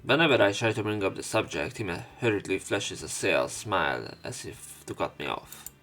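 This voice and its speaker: A man's voice: fairly low pitch, lively intonation, moderate pace, fairly bright tone, faint music behind. A man hosting a let's play, reading a visual novel aloud theatrically and adding casual commentary.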